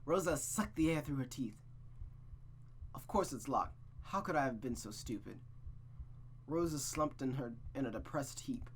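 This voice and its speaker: A man's voice: medium pitch, normal speed, pauses often, slight background noise, rough recording. A man reading a visual novel aloud as a streamer, telling the story dramatically and breaking into casual, sweary asides. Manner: expressive, reactive, sweary, stumbles and rereads lines.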